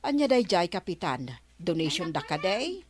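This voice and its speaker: Lively, chatty village official. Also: female voice, lowish voice, moderate pace. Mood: questioning. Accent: Filipino, Ilocano. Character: chatty woman village official, the captain's aide. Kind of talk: radio comedy drama acting.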